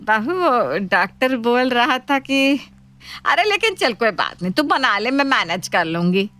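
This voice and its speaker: A woman's voice: on the high side, sing-song, a little slow, sharp tone, heavily acted. A woman voicing a greedy, food-loving elderly mother-in-law in a cartoon story. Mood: eager.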